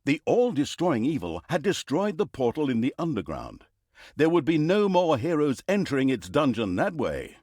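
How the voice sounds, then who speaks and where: A man's voice: mid-range voice, a little mellow, breathy edge, dry recording, clean studio sound. A man playing a pompous, dryly mocking storyteller who narrates an evil overlord's deeds in a video game, with theatrical emphasis.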